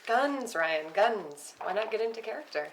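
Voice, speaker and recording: A woman's voice: a little high, lively intonation, moderate pace, slight background noise, poor audio. A woman reading the part of a brash, teasing twenty-five-year-old sidekick at a film script table read. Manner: slangy and teasing.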